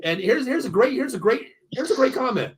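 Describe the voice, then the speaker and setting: A man's voice: very high voice, very animated, a little fast. An American man in his early fifties hosting a casual sports show, talking bluntly and often repeating himself. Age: early 50s. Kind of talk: casual sports banter with ad reads.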